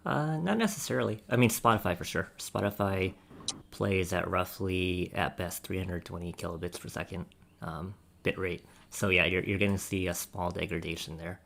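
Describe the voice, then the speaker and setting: A man on a podcast panel giving a casual technical explanation, measured and hesitant as he goes. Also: deep voice, vocal fry, a bit bright, a little hiss or hum.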